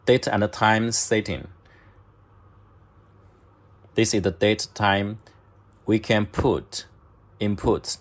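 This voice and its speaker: A man narrating step-by-step instructions in halting, short clipped phrases, as a product demonstrator. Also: fairly low pitch, steady tone. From China.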